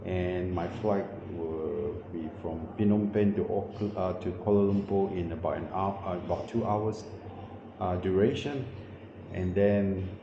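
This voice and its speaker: Man, low voice, halting casual vlogger talking to a camera in a small room; boomy and slightly dull. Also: vocal fry. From New Zealand. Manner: halting, repeats and corrects himself with many fillers. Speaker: travel vlogger. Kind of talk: casual vlog walkthrough. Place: small windowless hotel room. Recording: echoey room, muffled, noisy background.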